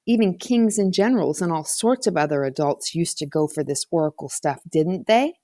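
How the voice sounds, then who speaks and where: A woman's voice: low-pitched, expressive, moderate pace, dark tone, dry recording, clean studio sound. A woman voicing a confident, bossy boy with a slangy, theatrical way of talking in a storybook. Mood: mysterious. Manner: casual slangy persuasion, theatrical when chanting. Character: bossy, confident boy playing a solemn high priest. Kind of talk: children's storybook character reading.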